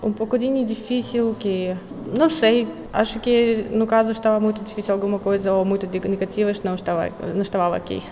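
A woman's voice: medium pitch, level tone, a little fast, darkish tone. A young woman from Kazakhstan, a master's student, answering interview questions hesitantly in a language she is still learning, with many fillers and restarts. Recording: echoey hall with faint noise.

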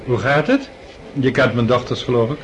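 A man's voice: medium pitch, normal speed, noisy background, natural voice. A man acting a genial, chatty count and doting father in a Dutch radio drama, jovial and full of exclamations. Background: music.

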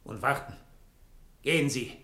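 Creepy polite doppelganger, old german radio play, formal n insistent, echoey. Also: male voice, mid-range voice, measured pace, sharp tone. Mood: insistent, commanding. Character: mysterious male doppelgänger, commanding, eerily courteous guide. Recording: echoey 1960 radio drama studio.

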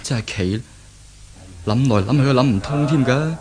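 A man's voice: mid-range voice, moderate pace, a crowd in the background, close to an everyday voice. A man acting in a 1970s Cantonese radio drama as an earnest, worried young fiancé with a Hong Kong accent. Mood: anxious brooding. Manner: earnest, fretful.

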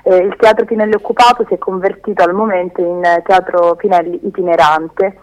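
A woman's voice: on the low side, fairly even intonation, brisk, darkish tone. Woman on the phone with bronchitis. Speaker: phone-in guest, theatre occupation activist. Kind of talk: live phone interview. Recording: telephone line.

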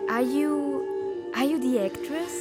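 Diner waitress, radio drama, polite, starstruck, high voice, slow, loud music. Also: female voice. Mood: shy, starstruck. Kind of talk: German radio drama acting, English lines.

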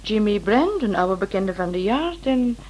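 An actress playing a sharp, playful woman who partners a sleuth in a radio play, informal and teasing. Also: mid-range voice, big pitch swings, unhurried. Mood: musing. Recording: noisy old radio drama recording.

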